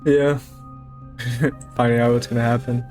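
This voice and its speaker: A man's voice: medium pitch, flat delivery, normal speed, a little mellow, faint music behind. A man playing a tabletop roleplaying game, chatting casually as he plays.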